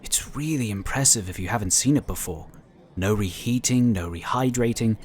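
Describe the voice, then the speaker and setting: A man's voice: on the low side, moderate pace, a little mellow, close to an everyday voice. A man playing an affable, talkative and polite psionic inquisitor in a sci-fi audio drama. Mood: friendly, enthusiastic. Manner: talkative, easygoing, explanatory.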